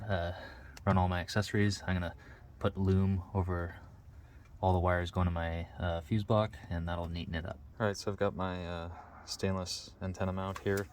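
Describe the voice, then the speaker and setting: Deep voiced guy, pretty monotone, casually walking thru a diy install step by step. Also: a little hiss or hum. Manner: plain, matter-of-fact, explains step by step. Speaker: DIY vehicle mechanic, video host. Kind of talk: casual hands-on how-to narration.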